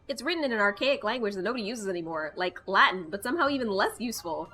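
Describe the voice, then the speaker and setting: A woman with a bright, forward voice narrates a visual novel as she plays it. She reads expressively and drops in casual, wry comments, with faint music behind her. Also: medium pitch, expressive, quick pace. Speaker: gaming video host. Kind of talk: reading a visual novel aloud with casual asides.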